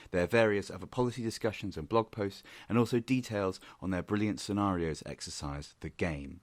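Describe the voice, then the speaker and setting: A man with a very low voice hosts the podcast and thanks his guest. He speaks hesitantly, stumbling and restarting, recorded dry with no room sound. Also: no echo. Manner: hesitant, halting phrasing. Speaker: host. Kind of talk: interview and podcast outro.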